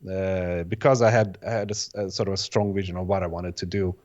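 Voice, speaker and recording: A man's voice: low voice, steady tone, a little fast, darkish tone, highs cut off. A man whose first language is Bosnian, a music producer answering interview questions in a hesitant way, often restarting his phrases. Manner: hesitant, restarts phrases, many "sort of" hedges. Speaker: guest, electronic music producer.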